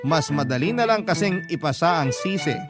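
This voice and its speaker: Male radio drama narrator, fairly high pitch, scripted confessional storytelling over prominent music. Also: expressive, normal speed. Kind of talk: first-person scripted narration of a love story. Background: music bed with violin.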